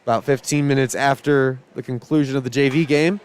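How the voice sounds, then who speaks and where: A man calling high school volleyball play by play, shouting excitedly over rallies, his voice starting to go. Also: brisk, clean tone. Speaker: play-by-play announcer.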